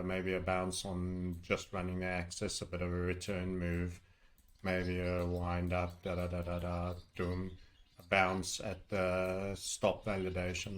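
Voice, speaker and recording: A man talking through technical analysis off the cuff as a trading educator, rambling and heavy on jargon with colourful asides. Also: on the low side. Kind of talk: unscripted technical chart commentary.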